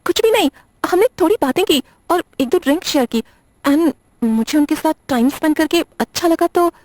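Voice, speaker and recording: Woman doing a flustered young librarian in an audio drama, really high voice, stammery, apologetic, no room sound. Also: expressive, moderate pace, a little mellow, no room sound. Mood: uneasy, confessing. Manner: hesitant, stammering, defensive. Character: nervous, apologetic young female librarian on the defensive. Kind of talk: Hindi audio drama acting.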